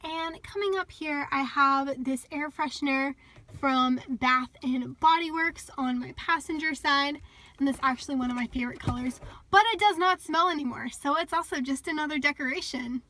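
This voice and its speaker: A woman's voice: sits high, normal speed, sharp tone. A young woman giving a chatty, upbeat tour of her car, recorded on a handheld phone inside the parked car. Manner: chatty and upbeat, with little laughs. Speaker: vlogger. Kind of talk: casual car tour walkthrough. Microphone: phone held in hand.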